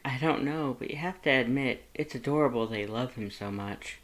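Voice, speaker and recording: A narrator doing a soft, soothing sweet boyfriend. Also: mid-range voice, normal speed, close to an everyday voice, low-quality recording. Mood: amused. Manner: soothing, amused, gentle.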